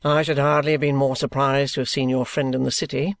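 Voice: mid-range voice, flat delivery, brisk, no room sound, natural voice. A reader voicing a plain-spoken former trooper in a storybook. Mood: steady. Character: cheerful burly former trooper man.

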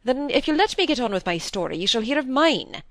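Lady narrator doing a sensible gentleman in an old novel, middle-aged, dry, bit impatient, lively pitch. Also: moderate pace, dry recording. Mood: resolute.

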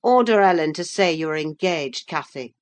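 A woman's voice: sits low, animated, normal speed. A woman voicing a sullen, jealous teenage boy with a blunt, insistent manner in a classic novel audiobook. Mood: hurt, insistent. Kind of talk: audiobook character acting.

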